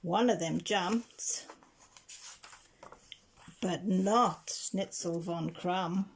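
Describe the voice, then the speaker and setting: A woman reading a children's rhyming picture book aloud with measured, deliberate phrasing. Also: fairly low pitch, slight background noise. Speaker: reader of children's picture books. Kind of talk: storybook read-aloud.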